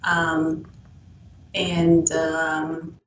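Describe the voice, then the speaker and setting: Woman w persian accent, slightly low voice, halting interview answers, distant mic w a bit of noise. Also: monotone, echoey room. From Iran. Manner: halting, pausing often between phrases. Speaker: interviewed model.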